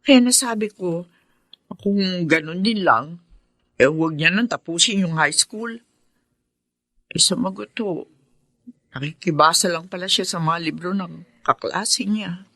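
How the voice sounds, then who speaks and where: A woman's voice: on the low side, a little slow, pauses often, a bit bright, no echo. An actress playing a worried, loving middle-aged mother from a poor family in a radio drama. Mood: worried, regretful.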